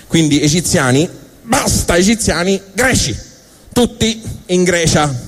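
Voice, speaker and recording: A man from Tuscany with a Tuscan accent, performing a comic storytelling monologue in a halting, colloquial way, often interrupting himself. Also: sits high, lively intonation. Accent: Tuscan Italian. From Tuscany, Italy. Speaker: stand-up monologist.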